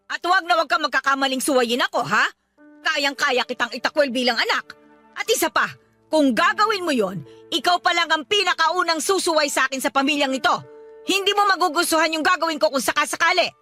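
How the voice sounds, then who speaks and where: A very high, forceful voice of a domineering mother in a radio drama. She scolds and gives orders like someone who expects to be obeyed, with faint music underneath. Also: a little fast. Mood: stern, scolding. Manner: scolding, commanding, threatening. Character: domineering, status-conscious mother of grown children. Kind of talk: Filipino radio drama acting.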